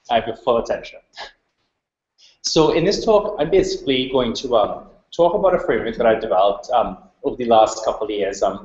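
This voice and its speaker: Trinidadian male researcher, seminar talk, roomy and a bit lo-fi. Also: mid-range voice. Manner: fast-flowing, many ums. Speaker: invited speaker, researcher. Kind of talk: academic talk. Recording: roomy, dull lo-fi recording.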